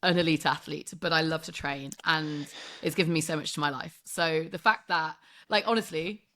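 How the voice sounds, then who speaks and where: A woman from England in her thirties talks casually as a guest on a podcast, drawing on her work as a sports broadcast journalist. Her voice sits on the low side for a woman. She laughs often, plays down her own part, and fills her sentences with "like.". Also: moderate pace, sharp tone. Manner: self-deprecating, laughs often, lots of "like" fillers.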